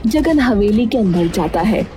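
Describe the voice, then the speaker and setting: A woman storyteller delivers scripted narration for a cartoon tale. Her pitch is average for a woman. Music is clearly audible behind her. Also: expressive, measured pace, dark tone.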